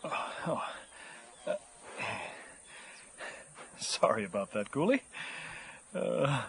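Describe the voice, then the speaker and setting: A man's voice: medium pitch, big pitch swings, measured pace, creaky, fairly bright tone, slightly rough, heavily acted. A man playing a frazzled, thirsty professor losing his mind in the desert, muttering and bursting out in an audio horror comedy. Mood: exhausted. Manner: muttering to himself, breaking into agitated outbursts.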